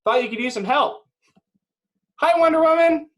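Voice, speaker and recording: A man's voice: very high voice, normal speed, pitched above the performer's own voice. A man playing an eager, chattering teenage boy superhero sidekick in an amateur script read. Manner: excitable, chattering.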